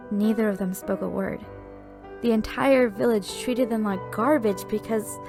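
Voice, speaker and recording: A woman plays a young fantasy village woman, earnest and haunted. Her voice sits at a middle pitch and comes haltingly, weighted with grief. It is audio drama acting with light music underneath. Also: creaky.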